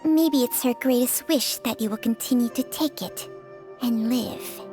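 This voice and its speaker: A man voicing a calm, ancient, scholarly sage who speaks in a measured, formal way. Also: very high-pitched, music in the background. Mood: solemn. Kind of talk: video game cutscene voice acting.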